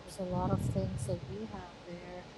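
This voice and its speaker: A woman from the Philippines, a native Tagalog speaker with a Batangas Tagalog accent, chatting at length over a voice call and explaining things in a relaxed way. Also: on the low side, normal speed, heavy background noise. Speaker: girlfriend on a call. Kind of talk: casual chat.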